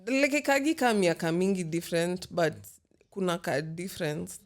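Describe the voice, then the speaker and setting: A woman in her late twenties with a Kenyan accent, a podcast guest chatting casually in a mix of English and Swahili, teasing and full of quick comebacks. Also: on the low side. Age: 20s.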